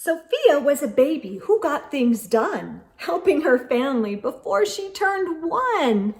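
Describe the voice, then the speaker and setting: High-pitched woman reading a children's story. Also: takes it slowly. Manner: animated, emphatic, addressing young listeners directly. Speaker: children's storytime host reading picture books aloud. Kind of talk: expressive read-aloud storytelling for children.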